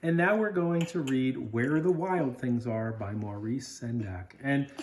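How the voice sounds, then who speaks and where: A woman's voice: a bass voice, a little slow, darkish tone, a little hiss or hum. A woman reading a storybook aloud as a parent, chatting with a small child and encouraging them with questions. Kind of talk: read-aloud storytelling with casual chat to a child.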